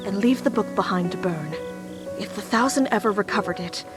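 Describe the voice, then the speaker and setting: Woman voicing a wry, expressive goat goddess. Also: medium pitch, a little fast, natural voice. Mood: grave, wry. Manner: articulate, formal, wry. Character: worldly, commanding female goat goddess, dryly dramatic. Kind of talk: animated visual novel acting. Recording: game audio with music behind.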